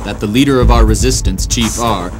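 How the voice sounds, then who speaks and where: A man's voice: fairly low pitch, fairly quick, music in the background, natural voice. A man playing an earnest robot resistance fighter in a video game, speaking in a stiff, formal way. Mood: grave, formal. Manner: stiff, formal, uncontracted speech. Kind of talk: video game cutscene acting.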